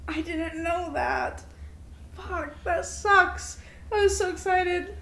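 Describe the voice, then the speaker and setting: A woman's voice: very high voice, steady tone, slow, bright, forceful tone. A young woman co-hosting a podcast in casual, giggly, informal conversation with plenty of swearing. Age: young adult. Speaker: podcast co-host. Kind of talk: casual unscripted chat between friends.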